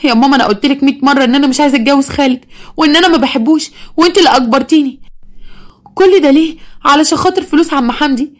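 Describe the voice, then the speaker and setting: A woman's voice: high-pitched, very fast, pitched above the performer's own voice, close to an everyday voice. A woman with an Egyptian accent voicing a desperate young married daughter who pleads with her mother. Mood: pleading. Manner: pleading, defiant.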